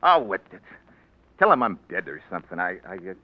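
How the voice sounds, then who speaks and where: A man's voice: deep voice, very animated, a little fast. An actor playing a cocky, slangy tough-guy politician in a 1940s radio drama, blunt and flippant. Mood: irritated. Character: cocky, wisecracking tough guy turned crooked politician, a man. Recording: noisy lo-fi vintage radio broadcast.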